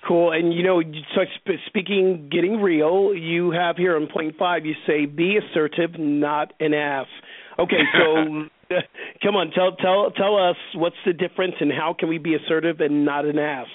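A man's voice: on the high side, steady tone, measured pace, no echo. A man hosting an interview, asking his questions in a casual, conversational way. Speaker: interview host. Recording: narrow phone-like band, dry.

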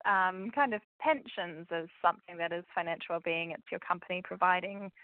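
A woman with a New Zealand accent answers interview questions in a clear, explanatory way. Her voice sits lower than usual for a woman. The sound is dry and thin, like a phone call. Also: brisk, dry recording. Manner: clear and explanatory, with fillers like "kind of". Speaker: guest expert.

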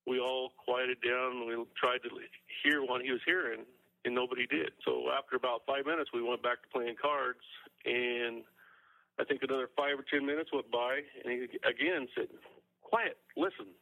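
A man telling an eyewitness account as a guest, in a plain-spoken, halting way. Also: mid-range voice, steady tone, vocal fry, narrow, tinny audio. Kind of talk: spontaneous storytelling.

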